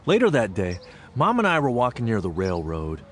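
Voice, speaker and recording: A man's voice: fairly low pitch, a little hiss or hum. An American actor playing an earnest, reflective Japanese American man recalling his farm childhood in a dramatic audio theatre monologue. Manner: expressive, deliberate storytelling with weighted pauses.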